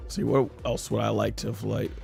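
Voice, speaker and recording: A man's voice: on the low side, fairly even intonation, moderate pace, vocal fry, a little mellow. A man chatting casually and enthusiastically as a live streamer, rambling through game commentary. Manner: enthusiastic, rambling fan chat. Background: music.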